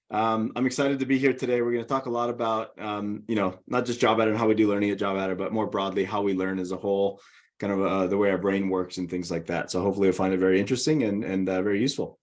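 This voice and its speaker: A middle-aged man with a Hawaiian accent, presenting a webinar over a video call in a friendly, organized way. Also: on the low side. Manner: friendly, organized, walks through slides.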